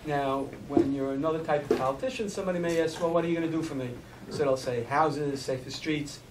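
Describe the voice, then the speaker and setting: A man's voice: a little high, quick pace. A man with a Brooklyn accent, a judge telling anecdotes as a forum panelist. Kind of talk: anecdotal remarks to an audience. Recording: roomy, distant mic, faint noise.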